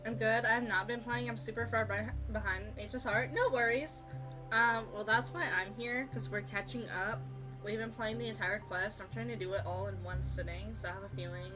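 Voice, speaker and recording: Woman, game streamer, casual, chatty, medium pitch, prominent background music. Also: fairly even intonation, normal speed. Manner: chatty, informal. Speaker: livestreamer. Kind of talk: casual gaming stream chat.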